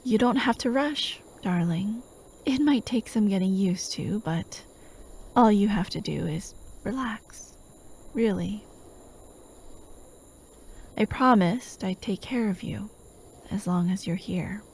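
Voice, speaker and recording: A woman playing a doting, possessive female hypnotist in a soft-spoken ASMR roleplay, gentle and coaxing, often slipping into a whisper. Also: medium pitch, slow pace, creaky, pauses often, slight background noise. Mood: soothing, wistful. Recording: steady outdoor night ambience, chirping crickets. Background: crickets and night insects.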